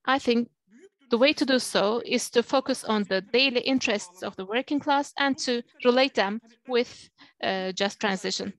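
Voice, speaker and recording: Woman interpreting a speech, halting. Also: fairly high pitch, rough recording. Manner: hesitant, frequent fillers.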